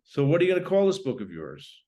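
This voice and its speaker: A man's voice: fairly high pitch, wildly swooping pitch, quick pace, mellow. Man voicing a brash slangy 1940s airman. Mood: breezy, brash. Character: brash, slangy 1940s American airman, wise-guy and cigar-chewing.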